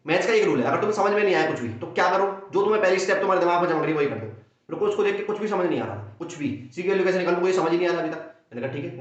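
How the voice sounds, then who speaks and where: A young man with a sore throat races through a maths lesson, bursting with energy and voicing his students' questions and answers himself. His voice sits a little high, and it rings around a very echoey room on a dull, lo-fi recording. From India. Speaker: maths teacher. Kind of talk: fast Hindi exam-prep lesson.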